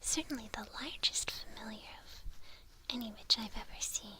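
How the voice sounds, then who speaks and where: A woman voicing a doting, soothing witch in an ASMR roleplay, whispering gently to her familiar in a breathy voice. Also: on the high side, moderate pace. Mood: admiring, doting. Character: doting young-sounding witch tending her cat familiar.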